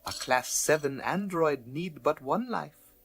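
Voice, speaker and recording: A humble but wily antique-shop keeper in a radio play. He talks in stilted, elaborately polite broken English, always lowering himself as he passes on information. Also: animated, a little slow, vocal fry, slight background noise. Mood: humble, cryptic. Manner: stilted, overpolite broken English, self-deprecating. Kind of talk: radio drama character dialogue.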